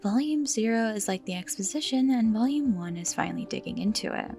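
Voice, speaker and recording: A woman giving scripted video-essay commentary with dramatic emphasis and hushed, breathy phrase endings. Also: a little high, animated, brisk. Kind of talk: scripted commentary on a horror series. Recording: music behind the voice.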